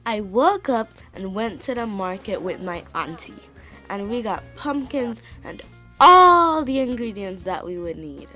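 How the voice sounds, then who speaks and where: A young girl as a woman voices her narrates a children's story. She speaks slowly and expressively, letting each phrase breathe. Soft music sits faintly behind her. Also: mid-range voice, big pitch swings. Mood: earnest, warm. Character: young Ghanaian-Canadian girl telling her festival story.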